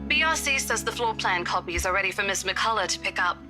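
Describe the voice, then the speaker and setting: A woman voicing a breezy, impatient young coworker on a phone call in a visual novel, brisk and informal. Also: mid-range voice, lively intonation, music in the background, lightly acted. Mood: brisk, irritated. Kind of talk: visual novel game voice acting.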